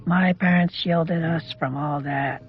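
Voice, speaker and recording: A man's voice: fairly high pitch, steady tone, slow pace, lo-fi sound, faint music behind. An older man from Canada recalling his childhood in an informal, plain-spoken, matter-of-fact way. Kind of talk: informal reminiscence.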